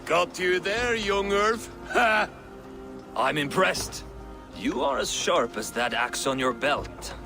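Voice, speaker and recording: A man's voice: sits high, expressive, slow pace, vocal fry, over music, full character voice. A man playing a boastful, jovial Viking verse duellist in a video game, theatrical and sporting. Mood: impressed.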